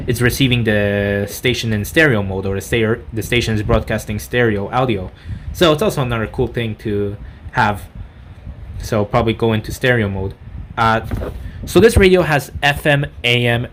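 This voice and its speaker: A man giving an unscripted product review in a halting, rambling way, often correcting himself mid-sentence. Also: mid-range voice, a little hiss or hum. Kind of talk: unscripted gadget demonstration.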